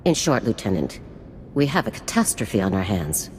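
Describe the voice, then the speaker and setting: A woman's voice: very deep voice, big pitch swings, moderate pace, darkish tone. An actress playing a stern, commanding female special forces general in a video game, speaking in a formal, authoritative, clipped way. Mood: grave, commanding. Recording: long reverb with faint noise.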